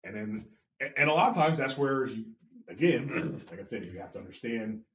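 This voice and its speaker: A man's voice: mid-range voice, expressive, normal speed, a bit bright, slight background noise. A man hosting a casual chat, blunt and given to swearing, acting out what he and others say. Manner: blunt, swears, acts out quotes. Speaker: podcast host, jiu-jitsu instructor. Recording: roomy, slightly dull sound.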